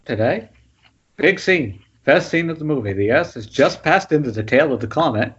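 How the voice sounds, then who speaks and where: A man's voice: a little high, lively intonation, moderate pace, a bit bright, breathy edge, full character voice. A man playing a nervous, self-important writer directing his first film, chummy and casual. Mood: businesslike. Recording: muffled, noisy internet call.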